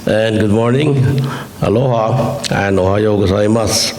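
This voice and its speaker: A middle-aged man, a Buddhist minister giving a gentle, lightly joking dharma talk while slightly hoarse from a chest cold, recorded in a temple hall. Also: fairly low pitch, measured pace.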